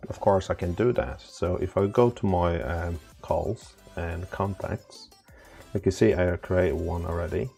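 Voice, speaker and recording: Deep, gravelly man explaining step by step. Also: darkish tone. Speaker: technical instructor. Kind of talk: unscripted step-by-step software tutorial.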